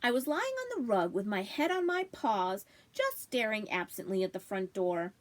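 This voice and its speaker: A woman reading a story aloud in an expressive, animated storybook style. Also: medium pitch, normal speed. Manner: animated, acting out dialogue. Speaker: librarian reading aloud to children.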